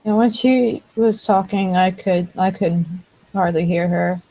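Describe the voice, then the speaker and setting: A woman with a mellow, dark-toned voice chats over a computer microphone. She talks hesitantly, pausing and restarting as she looks for words. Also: fairly low pitch, fairly even intonation, rough recording. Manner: hesitant, many fillers and restarts. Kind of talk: casual chat. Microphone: computer microphone on a voice chat.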